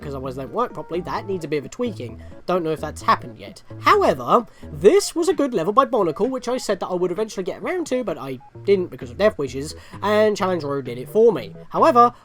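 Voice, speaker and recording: A man's voice: a little high, a bit bright. A man giving chatty gameplay commentary as a video host, rambling and often correcting himself mid-sentence.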